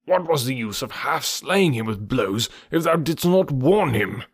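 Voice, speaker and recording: A man's voice: medium pitch, lively intonation, measured pace, slightly rough, no echo, studio quality, pitched above the performer's own voice. A man doing a stern scornful panther.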